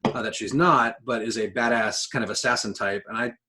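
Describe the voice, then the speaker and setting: A man speaks in a middle-pitched, everyday voice as a podcast co-host. He is enthusiastic and conversational about pop culture, and drops casual swearing into his talk. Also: steady tone, brisk, pushed and bright. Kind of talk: casual chat about games and films.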